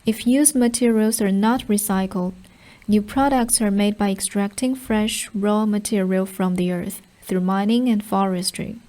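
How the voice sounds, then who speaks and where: Female narrator for read-aloud practice: mid pitch, very mellow timbre, measured phrasing. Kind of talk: scripted read-aloud of short exam passages.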